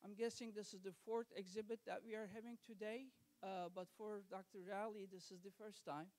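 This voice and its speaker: A man from Turkey with a Turkish accent, hosting an art exhibit reception and giving an earnest, halting welcome speech at a school gallery. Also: sits high, fairly even intonation, darkish tone. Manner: earnest, halting, many fillers and restarts.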